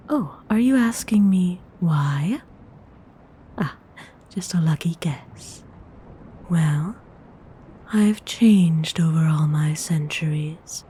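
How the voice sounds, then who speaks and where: A woman voicing a centuries-old, courteous and possessive female vampire noble in an intimate, formal ASMR roleplay, whispering in a husky, breathy voice. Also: on the low side, mellow, slight background noise. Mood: tender, reflective. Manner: slow, measured, formal, intimate.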